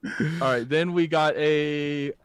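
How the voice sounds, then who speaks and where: A man co-hosting a podcast, reading out live chat messages in an animated, informal way. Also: on the high side, slow pace. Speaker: podcast co-host.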